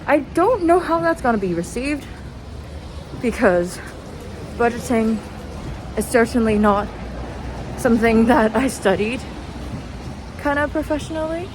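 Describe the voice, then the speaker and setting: A young woman chatting casually as a vlogger while out walking, with hesitant, meandering thoughts. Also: mid-range voice. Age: young adult. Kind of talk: casual rambling walking vlog. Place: outdoors, walking. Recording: noisy outdoor street, traffic ambience. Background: street and vehicle noise.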